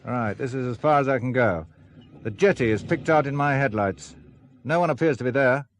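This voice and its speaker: An actor playing an alert, determined spy, brisk and wary, with a tough edge, in a radio thriller. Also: male voice, medium pitch, slight background noise, lightly acted. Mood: tense, watchful. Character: alert, determined male spy, turning tough under pressure. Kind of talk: radio spy drama acting.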